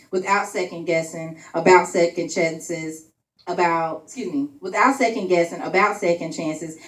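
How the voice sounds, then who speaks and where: A woman in her late twenties, a spotlight poet at an open mic, chatting playfully with the crowd between spoken-word pieces. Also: lowish voice. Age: 20s. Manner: chatty and playful with the audience, laughing between bits. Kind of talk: spoken-word poetry and casual stage chat. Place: event venue room. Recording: echoey room, voice from far off.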